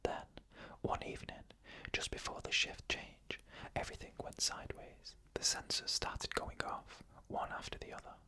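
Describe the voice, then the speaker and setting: Bright, breathy ASMR whisper narrator. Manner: fully unvoiced, breathy whisper throughout; slow, measured delivery with drawn-out pauses. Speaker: ASMR storyteller.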